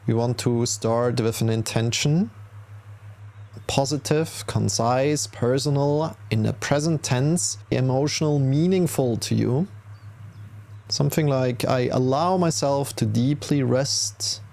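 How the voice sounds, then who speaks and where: Slow, creaky-voiced male meditation guide. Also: mid-range voice, a little mellow. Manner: soothing, unhurried instruction with long pauses. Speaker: yoga nidra meditation teacher.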